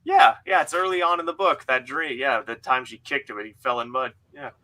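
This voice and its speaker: A man's voice: mid-range voice, fairly quick, pushed and bright. A young man hosting a casual livestream, reading out comments and bantering jokily, laughing through his words and swearing. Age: young adult. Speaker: author hosting a read-along livestream. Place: indoor room at home.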